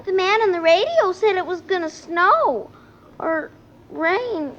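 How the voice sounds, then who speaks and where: A guileless little girl in an old radio sitcom. Her voice is extremely high and unhurried. The recording is muffled with clear noise. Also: animated, exaggerated voice. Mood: artless. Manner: childlike, guileless. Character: artless, cheerful little girl, youngest daughter. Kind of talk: vintage radio sitcom acting.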